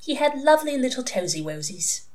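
A woman's voice: high-pitched, sing-song, a little fast, a little mellow, pitched above the performer's own voice. Woman voicing a dreamy, hollow-voiced entranced woman. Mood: dreamy, wistful. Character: entranced former nun, blank and amiable woman. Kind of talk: audiobook character reading.

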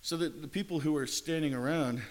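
A man's voice: a little high, a little fast. A man preaching a sermon in an earnest way, often repeating and restarting his words. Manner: earnest, repetitive phrasing with frequent restarts.

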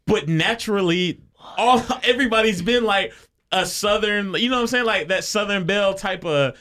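A man's voice: sits high, moderate pace. A young man from the Chicago area co-hosting a casual podcast, telling stories with lots of laughter and fillers. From Chicago area, United States. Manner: animated storyteller, laughs often, many fillers. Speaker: podcast co-host.

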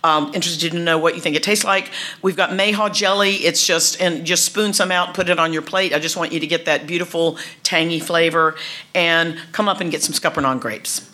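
A middle-aged woman, talking casually to an audience, chatty and warm, with many restarts and laughs. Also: lowish voice, fairly even intonation, brisk.

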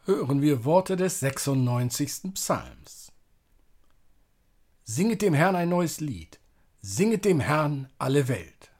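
A man's voice: on the high side, big pitch swings, slow pace, darkish tone, husky. A man reading psalm and prayer as a church service leader, solemn and declamatory.

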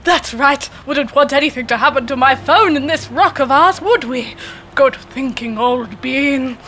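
Pompous, booming old British gentleman. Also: unhurried, a little hiss or hum. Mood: jovial bluster. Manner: booming bluster, old-fashioned posh exclamations. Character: pompous, jolly, oblivious older English gentleman ex-sportsmaster. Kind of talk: theatrical sketch comedy monologue.

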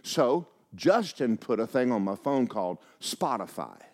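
Older pastor, folksy storyteller, lively swooping delivery with voiced-out quotes. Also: male voice, medium pitch, measured pace. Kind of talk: sermon with personal stories.

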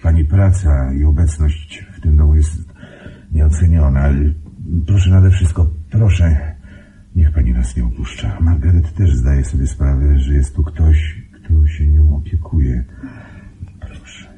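An actor in a Polish radio drama playing a courteous but controlling master of the house, paternal and insistent. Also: male voice, very deep voice, a little slow, dark tone, rough recording. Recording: hissy old radio drama recording.

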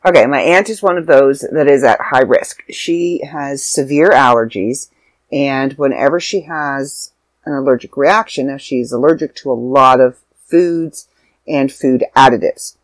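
A young woman vlogging casually from home, rambling and sidetracking, a little stuffy and hoarse with a sore throat and tired. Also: rumbling low, measured pace, dry recording. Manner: rambling, self-interrupting. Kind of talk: casual chat to camera.

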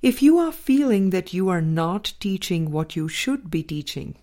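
A woman reading a spiritual book aloud as a scripted narration, with measured, deliberate phrasing in short groups. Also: fairly low pitch, warm tone. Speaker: audiobook reader.

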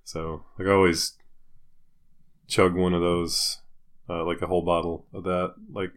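A man's voice: deep voice, flat delivery, measured pace, a bit bright. A man co-hosting a podcast in casual, jokey banter. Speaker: podcast co-host.

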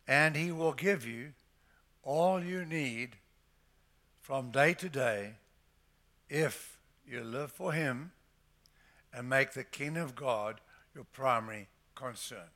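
Older pastor guy preaching, slow deliberate delivery, bright forceful tone. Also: mid-range voice. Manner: emphatic preaching, slows to stress scripture phrases. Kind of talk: sermon. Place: church.

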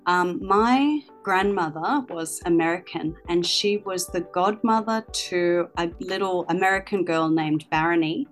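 A woman in her fifties talks through her teaching career with a chatty, storytelling manner. Her voice sits a little low. Soft music plays quietly behind her. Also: a little slow, hardly any pauses. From Australia. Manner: chatty, storytelling with many ums. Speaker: guest, language teacher and author. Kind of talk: interview answers.

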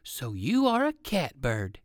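A man voicing a polite, curious old gentleman rabbit in a children's storybook, expressive and kindly. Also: medium pitch, takes it slowly, no echo, clean studio sound. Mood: curious, surprised.

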